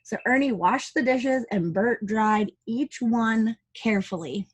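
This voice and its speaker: Higher-pitched woman reading to preschoolers. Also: unhurried, slightly rough, low-quality recording. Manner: chatty asides to children, expressive dialogue reading. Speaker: preschool teacher reading aloud to class. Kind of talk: read-aloud storytelling for young children.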